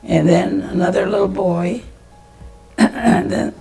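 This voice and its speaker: A woman in her eighties from Iowa, answering questions in a family interview, halting and searching for her words. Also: mid-range voice, steady tone, gravelly, mellow, husky, soft background music, poor audio. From Iowa, United States. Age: 80s. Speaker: interviewee, grandmother.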